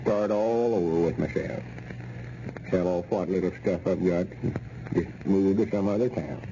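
A man's voice: low voice, moderate pace. An actor playing a proud, scheming old backwoods man with a rural Southern American accent and a folksy, exasperated drawl in an old radio comedy. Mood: dejected, ashamed. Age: older. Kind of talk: 1930s-40s radio comedy acting. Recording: dull, noisy old radio recording.

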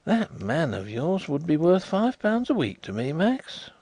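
A man voicing an excitable gentleman investigator who speaks hastily and with emphasis. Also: fairly high pitch, measured pace, creaky, breathy edge, no room sound, pitched above the performer's own voice, full character voice. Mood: emphatic. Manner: hasty, emphatic.

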